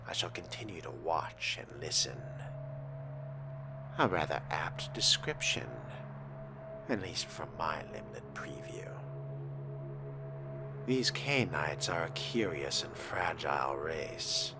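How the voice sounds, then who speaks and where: A man with a very low voice narrates a game in character. His delivery is very slow and deliberate, formal in wording and often hushed, as if musing to himself. Music plays clearly behind him. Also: animated. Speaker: game player role-playing a vampire character.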